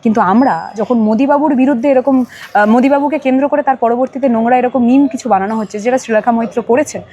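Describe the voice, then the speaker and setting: A woman's voice: on the high side, fast, dark tone, muffled recording. A woman with a Bengali accent giving emphatic political comment as an interview guest, in long, repetitive sentences.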